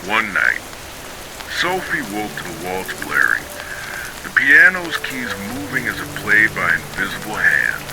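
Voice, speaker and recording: A man reading scripted horror stories for sleep in a measured, solemn storytelling manner, breaking sentences into short dramatic phrases. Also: on the low side, wildly swooping pitch, gravelly, background noise. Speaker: narrator of ghost stories.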